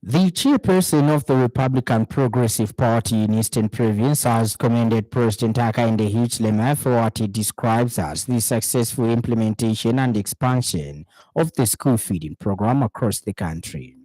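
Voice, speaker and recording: A man reading a scripted radio news bulletin in a steady, formal manner from a studio. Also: medium pitch, moderate pace, hardly any pauses, no room sound, rough recording. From Zambia. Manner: steady formal newsreading, lists read item by item. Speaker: news anchor.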